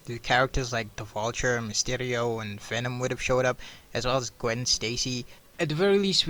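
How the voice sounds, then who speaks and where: Bright-voiced man rambling through a casual review. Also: medium pitch. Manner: slangy, profane, says "bro" and "dude" often. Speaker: video reviewer.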